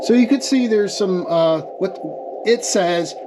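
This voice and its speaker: Male hobbyist demonstrator, slightly high voice, informal and explanatory, with clear background noise on the recording. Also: measured pace. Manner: explanatory, checks in with "okay" and "right". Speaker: amateur radio experimenter demonstrating equipment. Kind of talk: informal hands-on technical demonstration.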